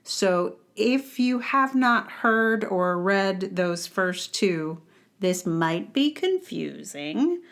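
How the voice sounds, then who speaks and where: A woman podcast host with a mid-pitched voice reads her own written review aloud. Her delivery is wry and sardonic, leaning on key words with exaggerated emphasis. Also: expressive, drawn-out words, a bit bright. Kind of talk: reading aloud a personal book-review blog.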